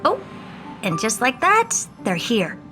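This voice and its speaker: A woman's voice: on the high side, huge pitch swings, slow, over music, heavily acted. An actress voicing a confident woman who lays out a mission plan in a businesslike way. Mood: brisk. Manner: businesslike, self-assured. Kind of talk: game voice acting.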